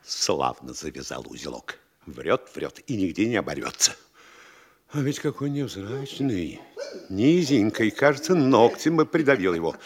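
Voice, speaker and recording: An actor playing a sly, fawning middle-aged provincial mayor in a classic Russian stage comedy, gushing obsequiously and muttering scheming asides. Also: male voice, mid-range voice, lively intonation, moderate pace, natural voice.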